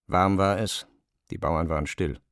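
A man's voice: low voice, measured pace, a bit bright, smooth, no echo, studio quality. A man narrating a scripted literary radio drama as its storyteller. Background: faint music.

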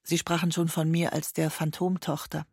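A woman's voice: sits low, little pitch movement, moderate pace, darkish tone, husky, no echo, clean studio sound. An actress playing a laconic young woman giving matter-of-fact court testimony in a documentary radio play. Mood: laconic recollection. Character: young woman witness, laconic daughter of holidaying family.

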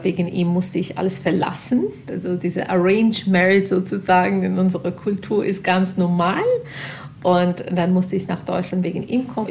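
Creaky-voiced young woman. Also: fairly low pitch, darkish tone, a little hiss or hum. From Pakistan, of Afghan family. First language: not German. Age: 20s. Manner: searching for words, slipping into English. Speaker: interview guest. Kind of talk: personal storytelling in conversation.

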